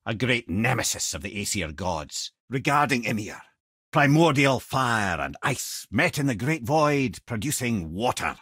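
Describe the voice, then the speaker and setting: A man's voice: mid-range voice, big pitch swings, measured pace, fairly bright tone, husky, no room sound, clean studio sound, exaggerated voice. An actor with a Scottish accent playing a clever, chatty old male advisor in a video game, speaking with wry eloquence like a storyteller. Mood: grave storytelling. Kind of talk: video game character voice lines.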